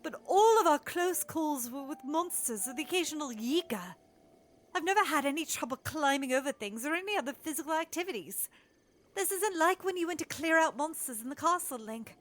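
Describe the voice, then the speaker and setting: A woman's voice: sits high, animated, a little fast, slight background noise. A woman playing a proud, stubborn young princess in an audio drama, speaking in formal, indignant phrases. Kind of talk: scripted audio drama acting.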